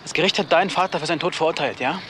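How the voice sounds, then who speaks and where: A man's voice: a little high, racing, noisy background, natural voice. An actor playing a hostile, dismissive young man in a German crime radio drama. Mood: defensive.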